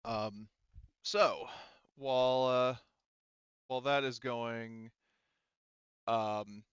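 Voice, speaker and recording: Man, game master, smooth clear voice, casual halting narration, dry sound. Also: medium pitch, steady tone, dry recording, muffled recording. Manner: halting, informal, talks around points. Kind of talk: casual tabletop roleplay narration.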